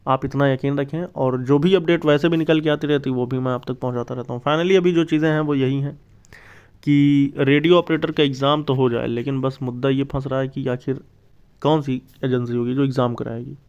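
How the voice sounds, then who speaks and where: A man's voice: mid-range voice, steady tone, fairly quick, a little mellow, smooth, lo-fi sound. A man hosts an informal explainer for exam aspirants, stressing key points by repeating them. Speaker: exam-news YouTube host.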